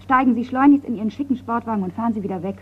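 An actress in a radio crime drama playing a colonel's polite, forthright niece, courteous and direct. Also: female voice, on the high side, very fast, slightly rough. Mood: frank, warning. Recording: thin phone-like, noisy radio recording.

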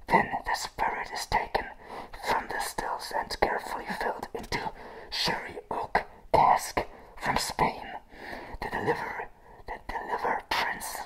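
A young man playing a crazy, jittery circus clown in a whispered ASMR roleplay, haltingly repeating his words with nervous apology in his manner. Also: a bit bright, lightly acted, poor audio. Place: small room with a plain grey wall. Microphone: close to the mic and camera.

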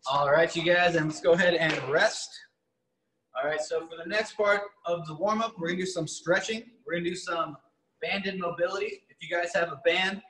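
A man's voice: a little high, sharp tone. A young man leading an online workout class from a gym, giving upbeat, encouraging stretching cues. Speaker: fitness coach. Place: large gym with rigs, indoors. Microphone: far camera. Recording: echoey gym room.

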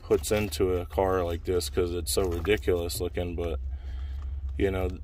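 A man's voice: deep voice, flat delivery, moderate pace, a little mellow. A man giving laid-back, slangy, admiring off-the-cuff commentary as he films a car walkaround. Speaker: car show vlogger. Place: outdoor car auction lot, at times inside a car. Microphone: handheld camera, close behind it. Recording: outdoor car lot ambient noise.

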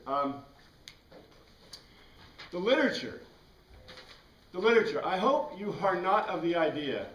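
A man's voice: sits high, fairly bright tone. A middle-aged man with an American accent giving an informal classroom lecture, rambling conversationally and putting questions to the class. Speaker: class teacher. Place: small classroom with whiteboard and bookshelves. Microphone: far camera across the room. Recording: echoey room, distant mic, background noise.

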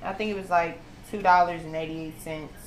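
A woman's voice: fairly low pitch, fairly even intonation, a little fast, a little hiss or hum, low-quality recording. A young woman, narrating her home cooking step by step and often checking in with "okay?". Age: young adult. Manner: chatty, walks through each step, tags lines with "okay?". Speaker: vlogger. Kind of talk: casual cooking vlog narration. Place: home kitchen, indoors. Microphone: handheld phone camera.

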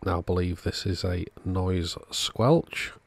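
Male repair-channel host, low creaky voice, casual step-by-step narration, dry close sound. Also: slow, no room sound.

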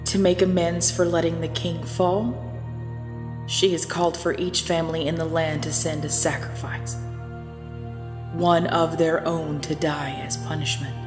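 A woman's voice: deep voice, level tone, unhurried. A woman playing a sorrowful, self-sacrificing young woman in an audio drama, with solemn, formal, old-fashioned diction. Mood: grave, resolute. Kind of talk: ASMR audio drama acting. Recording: long reverb under new-age music.

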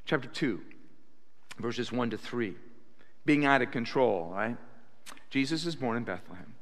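An older man delivers a sermon from a church pulpit into a stand mic. His voice sits at a middle pitch, and his delivery is animated, swinging from quiet remarks to loud emphatic quotes. The room behind him rings with a long, hall-like reverb. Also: unhurried. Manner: animated preaching with sudden loud acted quotes. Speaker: pastor. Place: church sanctuary stage at a pulpit.

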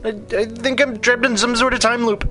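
A man playing a befuddled children's bedtime storyteller in a halting, mock storybook style. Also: very high voice, steady tone, over music. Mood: confused.

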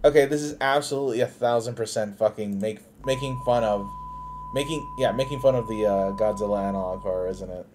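A man streaming live, reading on-screen captions aloud and reacting with loud, profane excitement and laughter. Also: lowish voice, a little fast, background noise.

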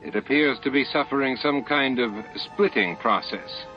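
A man's voice: mid-range voice, animated, normal speed, pitched above the performer's own voice, natural voice. A man voicing a smug, supercilious male alien military commander with falsely courteous manners in an audiobook. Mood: wary. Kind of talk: audiobook character dialogue. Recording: muffled lo-fi audiobook with music.

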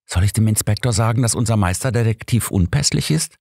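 Man putting on a flustered woman, raised pitch. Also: animated, a little fast, vocal fry, mellow, no echo, studio quality. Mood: helpless. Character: Alice, breathless, flustered woman of the household.

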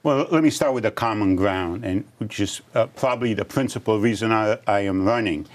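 An older man from Romania, a candidate being interviewed in a studio, answering in a measured way with many restarts. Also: on the low side. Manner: measured, with frequent restarts and fillers. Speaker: congressional candidate guest. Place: dark studio set, seated at table.

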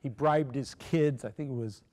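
A man's voice: mid-range voice, moderate pace, a little mellow. An older man giving a keynote lecture from a podium microphone in a large hall, telling a story with many fillers and restarts. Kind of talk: academic lecture.

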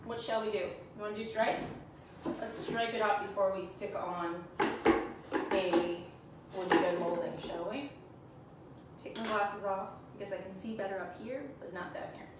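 A woman's voice: medium pitch, normal speed. Chatty Canadian woman in an echoey room. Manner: chatty, self-teasing, talks to viewers while working. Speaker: furniture painting tutor. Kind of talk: live painting demo chat. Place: small room indoors, sitting on the floor. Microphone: camera on a stand a few feet away. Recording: echoey room, far from mic, background hum.

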